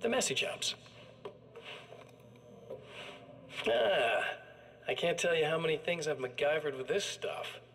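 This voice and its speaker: A man's voice: fairly high pitch, expressive, normal speed, gravelly, a bit bright, a little hiss or hum. A man playing a sadistic evil-twin killer in a video game, chatting with smug, casual menace. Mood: mocking, menacing. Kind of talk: video game monologue acting.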